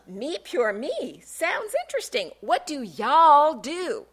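A woman's voice: high voice, sing-song, slow, pitched above the performer's own voice, exaggerated voice. A woman voicing a peppy, insincere female TV news anchor who gushes and bubbles over everything. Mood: mock-interested. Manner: bubbly, gushing, over-bright.

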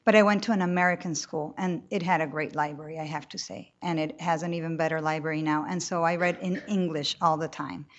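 Woman novelist, lively, chatty, low-ish voice, muffled event audio. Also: a little fast, few pauses. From Colombia. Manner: animated, talkative, frequent restarts. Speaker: novelist on a panel. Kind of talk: panel talk to an audience. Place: room with a live audience.

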